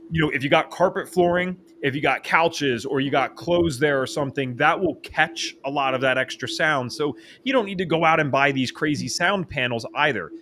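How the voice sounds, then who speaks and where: A man hosting a podcast interview from a home office, talking in an excitable, fast way. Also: mid-range voice, a little hiss or hum. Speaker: podcast host. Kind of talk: casual interview chat. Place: home office, empty spare bedroom. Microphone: studio microphone.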